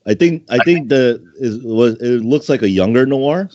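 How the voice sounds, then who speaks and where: A man's voice: mid-range voice, lively intonation, normal speed, a little mellow. A man chatting casually and commenting as a co-host and contest judge. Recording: dull lo-fi voice chat audio.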